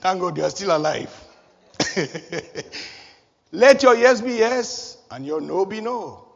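A man preaching a sermon, animated and chuckling at his own jokes, recorded in a church hall. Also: high voice, slow, vocal fry. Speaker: pastor. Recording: dull echoey hall recording.

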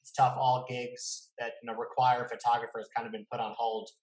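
A man's voice: medium pitch, level tone, brisk, a little mellow. A man hosting a casual interview, chatting and putting questions to a guest in a roomy, echoing recording. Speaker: interview host. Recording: echoey, roomy sound.

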